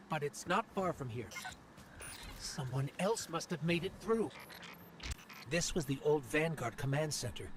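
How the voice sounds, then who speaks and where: An actor playing a small floating robot companion in a video game, earnest and hesitant, full of wonder. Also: male voice, a little high, noisy background. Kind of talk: video game cutscene and dialogue acting.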